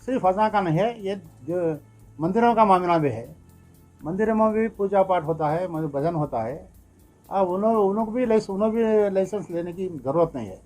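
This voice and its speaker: A man giving an unscripted interview statement as a community representative, emphatic and repetitive, with halting phrasing. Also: high voice, animated, darkish tone, faint music behind.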